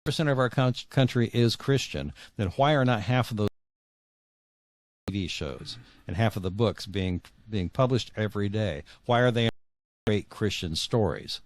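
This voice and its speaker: A man speaking as an interview guest, earnest and opinionated, in halting conversational talk that repeats words. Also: fairly low pitch, lively intonation, dry recording.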